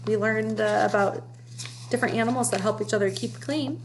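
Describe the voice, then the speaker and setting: A woman's voice: medium pitch, brisk, low-quality recording. A woman narrating a children's storybook aloud as its reader. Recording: clear background noise with hum.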